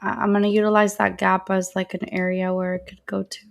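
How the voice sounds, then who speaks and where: A woman's voice: mid-range voice, little pitch movement, brisk, creaky. A woman co-hosting a live trading stream, giving casual market commentary.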